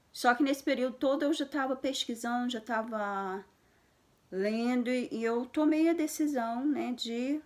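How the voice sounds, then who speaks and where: A woman's voice: on the high side, creaky. A Brazilian woman in her thirties, a mother of twins, talking casually to camera in a conversational way, with restarts and tag questions. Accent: Brazilian Portuguese.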